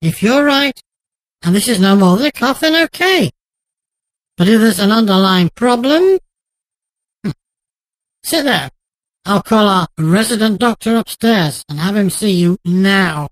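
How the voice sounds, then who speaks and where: A man playing a thin, energetic male office colleague in a radio drama, bossy, brash and emphatic. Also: sits high, a little slow, long pauses, no echo, pitched above the performer's own voice. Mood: exasperated, bossy.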